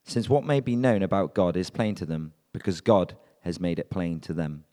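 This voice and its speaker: A man reading scripture and leading prayer in a measured, earnest way at a church service. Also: low voice, animated, warm tone. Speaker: scripture reader and prayer leader. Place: church hall. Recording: long reverb of a church hall.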